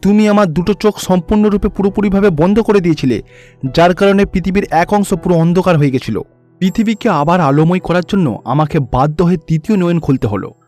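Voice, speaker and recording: A man voicing a stern, wrathful god in a cartoon, speaking gravely and reproachfully as if passing judgement. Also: high-pitched, brisk, darkish tone, soft background music, close to an everyday voice. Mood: angry, reproachful. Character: stern, wrathful male god scolding his wife.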